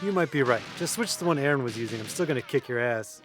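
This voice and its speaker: A man's voice: mid-range voice, animated, fast talker, natural voice. A man playing a level-headed young housemate who takes charge, in a naturalistic audio drama with casual delivery. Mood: laid-back. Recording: music under the dialogue. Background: music.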